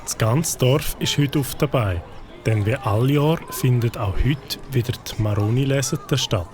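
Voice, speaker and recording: A man with a Swiss German accent giving calm, scripted narration for a children's audio story. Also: mid-range voice, measured pace, a crowd in the background.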